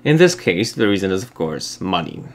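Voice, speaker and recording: A man's voice: deep voice, big pitch swings, normal speed, slight background noise. Wry young man. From Poland. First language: Polish. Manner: dry, wry, explanatory. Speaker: video essayist. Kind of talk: scripted narration.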